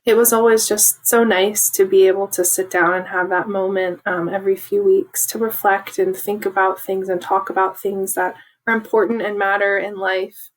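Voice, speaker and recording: Casting note: woman mentor, mid-pitched voice, warm reflective testimonial. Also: flat delivery, moderate pace, hardly any pauses, low-quality recording.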